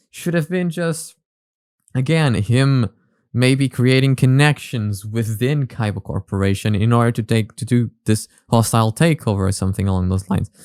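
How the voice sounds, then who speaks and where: A man's voice: medium pitch, a little mellow, no room sound, studio quality. A man hosting a reaction video with casual analytical commentary, often repeating words as he explains.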